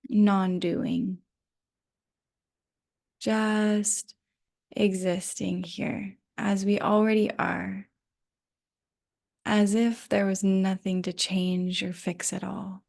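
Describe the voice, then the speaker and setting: A woman leads a guided rest meditation at a very slow pace, leaving long, unhurried pauses. Her voice has a pronounced vocal fry and slips into breathy, almost whispered phrase endings. The delivery stays gentle throughout. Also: medium pitch, flat delivery. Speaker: yoga nidra guide.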